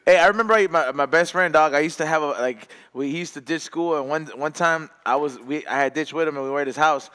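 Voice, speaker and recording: A man co-hosting a podcast in casual chat, profane and laughing, with quick asides in Spanish. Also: on the high side, sharp tone, clean tone. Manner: profane, laughing, slips into Spanish for quoted parents. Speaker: podcast co-host. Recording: hall reverb.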